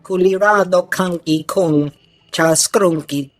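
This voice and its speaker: A woman voicing an alien in a video game, speaking an invented tongue in a deliberate, drawn-out way. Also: lowish voice, no room sound, faint music behind. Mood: haughty. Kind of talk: video game cutscene acting.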